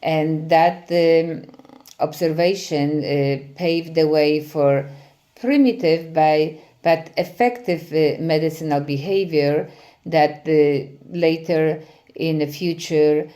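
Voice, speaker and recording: A middle-aged woman from Poland with a Polish accent, giving a conference presentation as a physical therapy professor, often pausing with "uh" fillers. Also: low-pitched, warm tone. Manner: frequent hesitations with many "uh" fillers.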